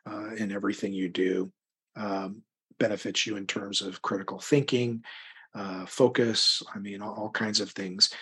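A man's voice: fairly low pitch, fairly bright tone, slightly rough. A middle-aged American man talking casually as an interview guest, thoughtful and rambling, often hesitating as he works out his answer. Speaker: interview guest, crime fiction author.

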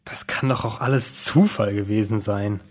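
Man as lazy boy, husky, blunt, sceptical, food-mad, children's audio drama. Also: lowish voice, normal speed, darkish tone, lightly acted. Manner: doubting, blunt.

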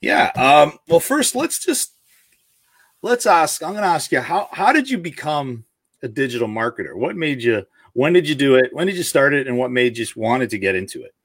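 A man hosting a casual live podcast interview, halting and rewording his questions as he goes. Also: medium pitch, expressive, fairly bright tone, no room sound, clean studio sound. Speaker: podcast host.

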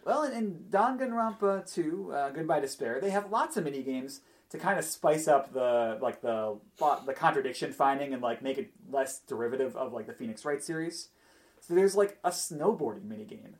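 A man's voice: mid-range voice, lively intonation, normal speed. A man in a casual podcast chat about games, explaining things in a hesitant, rambling way full of filler words. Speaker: podcast panelist discussing video games.

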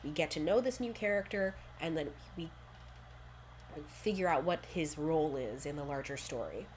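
A young woman sits in on a casual podcast chat, recapping episodes in a slightly low voice. She strings thoughts together with lots of "like" and restarts. A faint hum of the room sits behind her. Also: normal speed. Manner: chatty, many "like" fillers, quotes characters in lively voices. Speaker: podcast co-host.

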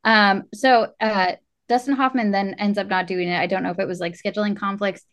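A woman's voice: mid-range voice, steady tone, brisk, a bit bright. A woman hosting a podcast, bantering casually about films with self-deprecating enthusiasm. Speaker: podcast host.

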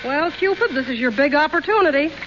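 A deadpan wisecracking maid. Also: female voice, sits high, a little fast. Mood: wry. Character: wisecracking, sardonic household maid. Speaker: Molly. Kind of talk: 1930s radio play acting. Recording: dull old radio broadcast with hiss.

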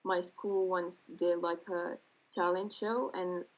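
Lower-pitched 17-year-old woman from Colombia. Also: level tone, slow pace, lo-fi sound. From Bogota, Colombia. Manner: friendly and modest, laughs lightly. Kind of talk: casual self-introduction.

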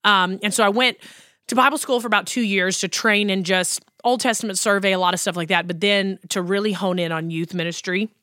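A woman's voice: mid-range voice, fairly even intonation, a little fast, bright, forceful tone, dry recording, clean studio sound. A woman in her thirties, a worship pastor hosting a podcast, chatting about her life with many ums and likes. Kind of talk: casual personal storytelling.

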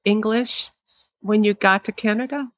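A woman's voice: mid-range voice, steady tone, a little slow, no room sound. A woman hosting an interview, asking short probing questions. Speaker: podcast host.

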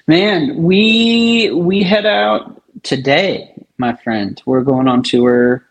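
A man's voice: fairly high pitch, animated, very slow, rough recording. A man speaking casually and enthusiastically as a musician being interviewed.

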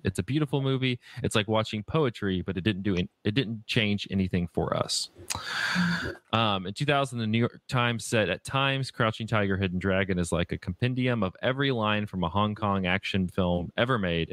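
A man with a fairly low voice hosts a film podcast, reading from prepared notes. His delivery is halting, with stumbles as he goes. The sound is dry, with hardly any room on it. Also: a bit bright, no room sound. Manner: halting, stumbles over words while reading. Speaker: podcast host.

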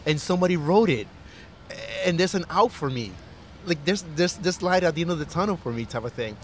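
A man's voice: fairly high pitch, lively intonation, brisk, slight background noise. A man who grew up in Costa Rica, a chef talking earnestly and reflectively in a casual interview, with fillers and restarts.

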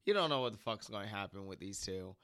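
A hoarse man chatting on a talk show, with a low, bright and clean voice that cuts through in spite of a sore throat. He talks haltingly, full of fillers, and the dry close mic keeps every word near. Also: animated, no echo. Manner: halting, many fillers and restarts. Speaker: podcast co-host. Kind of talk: casual chat.

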